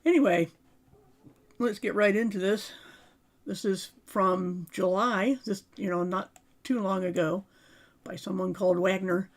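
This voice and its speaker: A woman talks to her livestream viewers with a voice made raspy by a sore throat and sinus pressure. She speaks at a middle pitch, stops for ums and uhs, and clears her throat often. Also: fairly even intonation, a little slow, long pauses. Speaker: livestream host. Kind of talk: casual livestream talk and article reading.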